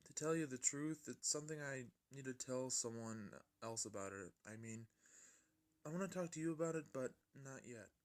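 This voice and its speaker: A man's voice: mid-range voice, normal speed, lightly acted. A man playing a troubled young male hero with a casual, reserved manner in a story reading.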